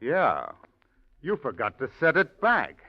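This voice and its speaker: An actor playing a shrewd male investigator who calmly exposes a suspect, in 1940s radio style. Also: medium pitch, dry recording, heavily acted. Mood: accusing. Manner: calm, drawn-out, triumphant accusation. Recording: dry, dull old radio recording.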